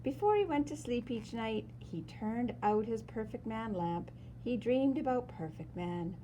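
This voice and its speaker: A woman reads a children's story aloud expressively, with dramatic emphasis and pauses. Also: fairly high pitch, expressive, mellow, slight background noise, rough recording. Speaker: storyteller reading a picture book aloud.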